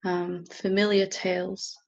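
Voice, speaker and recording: A woman teacher leading a guided meditation in a gentle, unhurried manner with long pauses. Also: medium pitch, flat delivery, muffled recording. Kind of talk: guided meditation, softly instructing.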